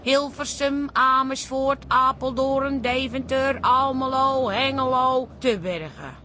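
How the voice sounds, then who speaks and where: An actress playing a fussy, complaining wife in a radio play, chatty. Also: female voice, sits high, slow, over music. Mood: fussing. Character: nagging, fussy Amsterdam wife on a car trip. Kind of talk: radio drama acting. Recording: noisy car interior.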